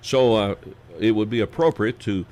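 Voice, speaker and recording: Folksy, halting male radio host. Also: on the low side, darkish tone, slight background noise. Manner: folksy, halting, many fillers. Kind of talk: casual radio interview.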